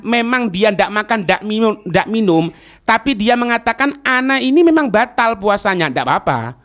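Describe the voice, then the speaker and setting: A man's voice: sits high, a bit bright. A man with a Javanese accent teaching a religious lesson, didactic, repeating phrases and checking that students follow. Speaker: Islamic teacher.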